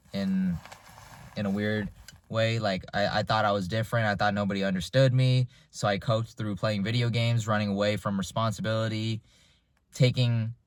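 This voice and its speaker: A man in his twenties, raised in the United States, talking through his thoughts in a loose, reflective monologue with frequent restarts. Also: on the low side. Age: 20s. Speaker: vlogger. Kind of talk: casual unscripted monologue.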